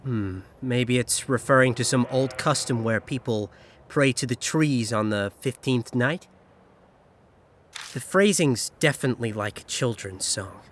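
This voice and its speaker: A man voicing a level-headed, inquisitive companion in a horror mystery fan dub, measured and pondering. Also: medium pitch, slight background noise, close to an everyday voice. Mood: curious. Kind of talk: visual novel fan dub acting.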